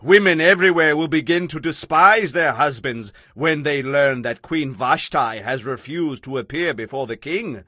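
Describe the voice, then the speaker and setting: A man's voice: on the high side, normal speed, hardly any pauses, pushed and bright, no room sound, clean studio sound. A man playing an indignant, self-important royal advisor in an audio Bible drama, with oratorical, emphatic delivery. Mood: indignant alarm.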